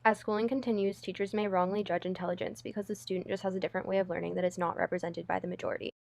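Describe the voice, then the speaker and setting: A woman's voice: lowish voice, level tone, rapid-fire, vocal fry, a little mellow. A young American woman reading a scripted personal statement as a student. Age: young adult. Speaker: student speaker.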